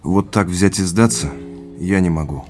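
An actor playing a troubled, stubbornly independent businessman in naturalistic audio drama, speaking in a low-key, hesitant way. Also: normal speed, a little mellow, faint music behind, lightly acted. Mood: earnest, stubborn.

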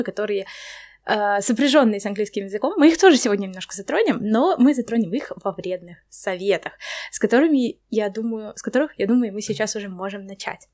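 A woman hosting a podcast interview who introduces the topics in a relaxed, friendly way. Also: mid-range voice. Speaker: podcast host. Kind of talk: casual interview.

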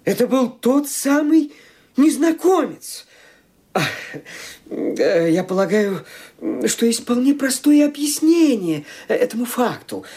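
A man's voice: very high voice, sing-song, slow, dark tone, breathy edge, exaggerated voice. An actor playing a genteel nephew in a radio drama, hemming and hesitating as he talks. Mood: hesitant, musing. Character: genteel nephew, hesitant, explaining things away.

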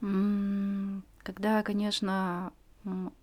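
A woman in her forties telling her personal story as a podcast guest, earnest and reflective, pausing often with fillers. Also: medium pitch, completely flat delivery, a little mellow.

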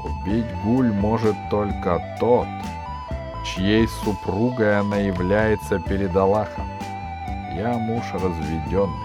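A man's voice: on the low side, takes it slowly. A man voicing a grim, weary wronged husband in a storybook tale, confessing solemnly. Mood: weary, resigned. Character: grim, weary divorced husband of a she-djinn. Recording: music behind the reading.

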